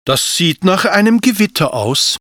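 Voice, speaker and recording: A storyteller voicing a young boy dragon in a children's audiobook, high and anxious. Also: expressive, measured pace, fairly bright tone, no echo. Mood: worried.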